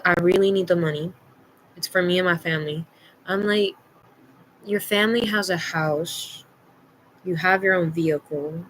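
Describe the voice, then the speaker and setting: A woman's voice: fairly low pitch, fairly bright tone, a little hiss or hum, rough recording. A young adult woman telling a personal story casually, in a blunt, slangy, emphatic way, acting out bits of dialogue. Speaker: storytime vlogger.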